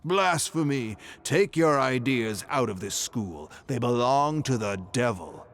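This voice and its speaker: A man's voice: mid-range voice, animated, takes it slowly, voice pushed up. Man putting on an outraged, pious schoolmaster, raised voice. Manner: stern, denouncing. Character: outraged, pious male schoolmaster condemning heresy. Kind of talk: dramatic horror lore acting.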